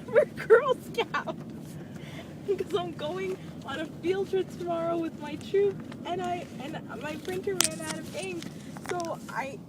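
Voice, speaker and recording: A woman's voice: very high-pitched, measured pace, heavy background noise. A young woman chatting casually inside a car, picked up on someone's phone. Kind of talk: casual chat. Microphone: phone, held away from the speaker.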